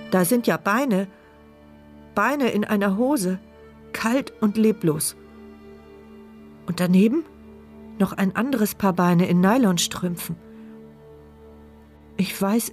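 A woman's voice: medium pitch, slow pace, pauses often, darkish tone, close to an everyday voice. Brief: actress as trapped, frightened woman, fragmented inner monologue, radio thriller, music underneath. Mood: dread, horror. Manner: tense, fragmented first-person present-tense narration. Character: wheelchair-bound woman narrator, trapped, terrified, silent inner voice. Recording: music bed behind voice.

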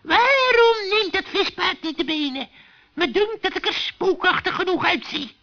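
Man acting cranky old witch, children's storybook, shrill and sharp, whining, scolding, dull lo-fi recording. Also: animated, moderate pace, heavily acted. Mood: grumbling, impatient. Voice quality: shrill, creaky. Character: grumpy, impatient old female witch disguised as a ghost.

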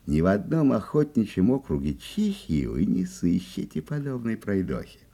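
A man playing a genial, wary forester in a children's audio play, given to drawn-out exclamations of wonder. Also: fairly low pitch, wildly swooping pitch, creaky, mellow, full character voice. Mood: wary, confident. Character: wary old-fashioned forester, genial and easily amazed.

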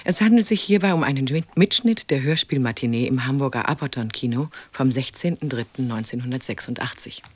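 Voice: mid-range voice, brisk, few pauses. A radio announcer reading a scripted introduction to a programme in a formal, informative style.

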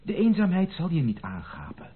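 Protective gentleman lover, radio drama: very breathy-rough voice, lively swooping intonation, formal and emphatic, old muffled recording. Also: on the high side, moderate pace, exaggerated voice. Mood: insistent, agitated. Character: earnest, protective gentleman cousin in love. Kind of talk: Dutch radio play acting.

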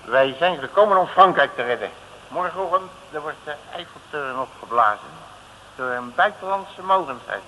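An actor playing a cocky, slangy working-class detective in a 1950s Dutch radio comedy serial. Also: male voice, fairly high pitch, animated, moderate pace, bright, forceful tone. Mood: self-important. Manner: slangy, blunt, self-assured. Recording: noisy old radio recording.

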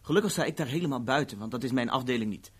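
A man's voice: mid-range voice, animated, very fast, husky. A man acting in a 1970s Dutch radio play as an urbane, wry agent who delivers threats politely and matter-of-factly. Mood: cool threat. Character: urbane, coolly menacing male agent of a ruthless organisation.

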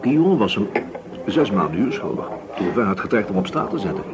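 An actor playing a calm, probing police inspector in a radio play, asking his questions in a measured way. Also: lowish voice. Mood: calm, inquisitive. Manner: measured, questioning, murmured acknowledgements. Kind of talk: Dutch radio play acting. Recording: radio play with busy café chatter.

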